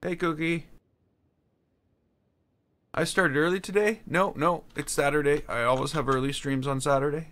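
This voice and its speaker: A man streaming a game, chatting casually and haltingly, answering chat while he builds. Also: on the high side, fairly even intonation, fairly bright tone. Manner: rambling, halting, answers chat between tasks.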